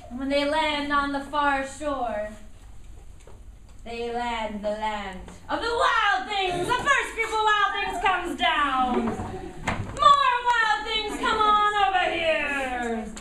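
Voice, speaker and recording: A woman narrating a children's puppet show live, animated and encouraging as she directs the children. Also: high voice, slow pace. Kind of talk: live storytelling to young children. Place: indoor room at a school show. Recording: noisy echoey room, distant microphone.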